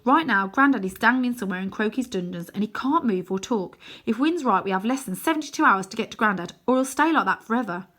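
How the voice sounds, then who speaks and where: Storybook boy hero, played by a woman: earnest and pleading, forceful delivery, very animated pitch. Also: fast talker, few pauses. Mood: urgent, pleading. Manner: urgent, pleading. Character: earnest, exasperated young boy, storybook hero and narrator's self.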